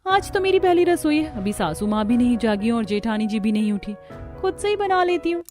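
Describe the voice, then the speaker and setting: Woman playing young cartoon daughter-in-law, high voice, well-meaning but fed up. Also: very animated, a little fast, a little mellow, soft background music. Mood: cheerful.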